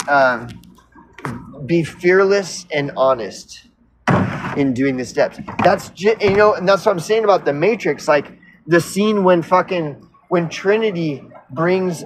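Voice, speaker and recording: A man of fifty on an unscripted personal rant, earnest and insistent, halting and repeating himself as he circles back mid-sentence, casually profane. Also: fairly high pitch, a little hiss or hum, poor audio. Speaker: recovery vlogger.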